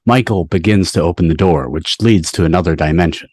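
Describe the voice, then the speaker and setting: A man's voice: sits low, a little fast, darkish tone, dry recording. A man reading scripted stage directions as the narrator of a table read. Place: online video call. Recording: dry video-call audio.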